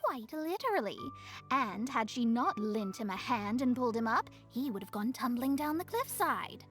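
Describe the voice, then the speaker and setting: Mona, a haughty high-voiced young astrologer. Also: female voice, very animated, normal speed, a bit bright, faint music behind. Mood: teasing. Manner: pompous, wry, scolding. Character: Mona, proud young woman astrologer, sharp-tongued and haughty. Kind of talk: game cutscene acting.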